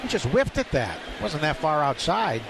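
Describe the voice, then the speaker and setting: A man's voice: on the high side, normal speed, vocal fry. A man doing live radio play-by-play of baseball as an announcer, speaking in clipped phrases. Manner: clipped phrases, rising to excited calls on big plays. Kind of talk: live radio sports commentary. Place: ballpark broadcast booth. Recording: live stadium broadcast, loud background noise.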